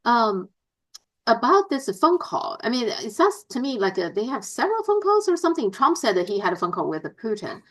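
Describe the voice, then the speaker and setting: A woman's voice: on the high side, very animated, a little fast, poor audio. A woman interviewing casually about politics, with informal, tentative phrasing.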